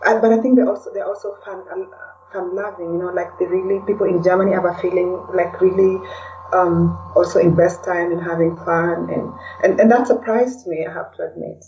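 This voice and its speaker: A woman from Kenya speaking as a podcast guest in a casual, reflective way, often pausing to search for words. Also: lowish voice, steady tone, a little hiss or hum. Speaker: interview guest, blogger and podcaster. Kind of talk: casual conversational interview. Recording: distant, dull lo-fi call sound.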